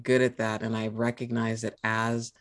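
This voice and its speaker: Thoughtful californian, law background, telling family stories in a relaxed chat. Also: mid-range voice, moderate pace. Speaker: lawyer, podcast guest. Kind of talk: casual conversation.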